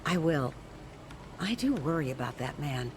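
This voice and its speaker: An actress playing a caring, modest older woman in a video game, speaking in a gentle, motherly way. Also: low voice, sing-song, a little slow, noisy background. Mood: kindly, caring. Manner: gentle, motherly, lightly teasing. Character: kindly older aunt, caring, modest shelter worker. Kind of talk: naturalistic game cutscene dialogue.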